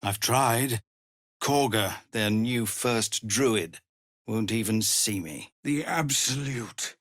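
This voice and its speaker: An actor playing a weary, dutiful tiefling ex-soldier who leads refugees in a video game, speaking in a grave, measured, earnest way. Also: male voice, lowish voice, fairly bright tone, no room sound, clean studio sound. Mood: weary, regretful. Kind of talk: dramatic video game character acting.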